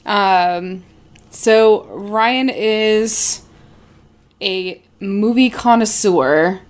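A woman's voice: medium pitch, very slow. A woman co-hosting a podcast from her apartment, chatting casually with many fillers and frequent laughs. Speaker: podcast co-host. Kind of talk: casual chat. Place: home apartment. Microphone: shared desk mic moved between speakers.

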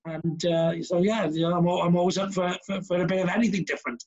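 A man's voice: sits high, steady tone, fast, darkish tone, dull audio. A man with a Welsh accent hosting a podcast, chatting casually over a video call with lots of fillers and restarts. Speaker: podcast host.

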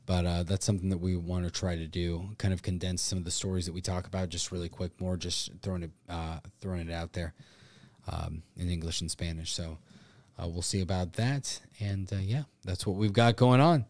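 A man's voice: low-pitched, fairly even intonation, fairly quick, darkish tone, no room sound. A man co-hosting a podcast in casual, bantering chat, with a self-deprecating manner. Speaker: podcast co-host.

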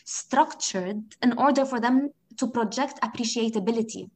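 A woman from Lebanon with a Lebanese accent, Arabic her first language, speaking reflectively as an interview guest and often pausing to rephrase her thoughts. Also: on the high side. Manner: reflective, thinks aloud, frequent "you know" and restarts. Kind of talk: conversational interview.

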